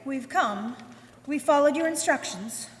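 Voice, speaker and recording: Woman playing a high, flustered, indignant lady. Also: animated, unhurried. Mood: flustered, alarmed. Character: flustered, indignant lady victim, easily alarmed. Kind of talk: live old-time radio melodrama acting. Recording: echoey hall, faint noise, live.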